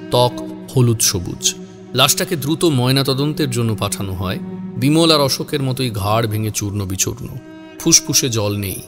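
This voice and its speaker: A man narrating a scripted horror story in a dramatic style. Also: mid-range voice.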